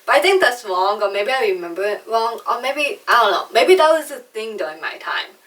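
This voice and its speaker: A woman's voice: on the high side, animated, brisk, fairly bright tone, far from the mic. A young woman who was adopted from China and raised in America, talking in a chatty, self-deprecating way about her speech. She speaks with a slight lisp and some trouble with R sounds. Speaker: vlogger. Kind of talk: personal storytelling vlog.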